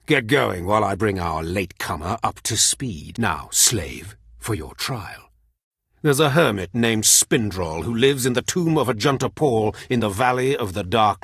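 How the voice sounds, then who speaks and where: Cruel Sith overseer, very raspy, low-pitched, haughty, sneering, menacing, video game villain. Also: male voice, fairly bright tone, dry recording, studio quality, exaggerated voice. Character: cruel, contemptuous Sith overseer drilling slave apprentices. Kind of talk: scripted game voice acting.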